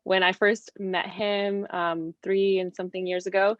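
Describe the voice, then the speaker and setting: A woman's voice: mid-range voice, little pitch movement, measured pace. A woman from Mexico and California chatting casually as an interview guest, telling stories in an informal, talkative way. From Mexico, later California. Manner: chatty, informal storytelling with quoted asides. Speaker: interview guest, real estate investor. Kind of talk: casual video interview chat. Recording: roomy, dull lo-fi call audio.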